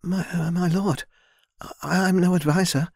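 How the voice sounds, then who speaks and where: A man voicing a timid young woman who speaks softly and hesitantly, stammering at times. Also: fairly high pitch, brisk, dry recording, clean studio sound, voice pushed up, lightly acted. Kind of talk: audiobook character voice.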